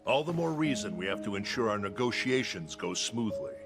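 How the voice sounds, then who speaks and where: An actor playing a stern, seasoned mercenary captain in a video game, with a gravelly voice. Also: male voice, on the low side, expressive, a little fast. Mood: grave, resolute. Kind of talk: video game cutscene acting. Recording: loud music behind. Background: loud music.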